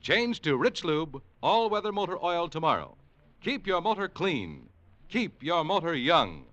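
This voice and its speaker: A polished 1940s radio announcer man reading an ad and the credits. His voice is fairly high, bright and lively, swinging up and down in the old declaiming style. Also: a little slow.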